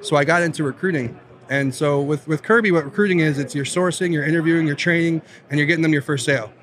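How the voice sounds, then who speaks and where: A man's voice: a little high, quick pace, fairly bright tone. A man in his late twenties from Southern Virginia, a guest telling his career story in a casual podcast chat, upbeat and laughing often. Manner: upbeat, chatty, laughs often, says "right?".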